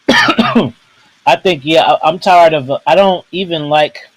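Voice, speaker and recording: A man co-hosting a casual wrestling chat, rambling and halting in a laid-back way. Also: a little high, slight background noise, rough recording. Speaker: podcast co-host.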